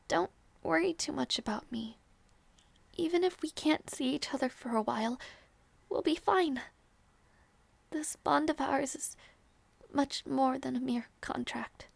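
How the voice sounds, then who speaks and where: A young princess voiced by a woman in a close, dry audio roleplay, high-pitched and slow. She speaks softly and regally, tender and wistful, with creaky edges to her measured phrases. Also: dry recording, full character voice. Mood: solemn, tender. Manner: soft, measured, regal phrasing with small teasing turns. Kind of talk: intimate scripted audio roleplay acting.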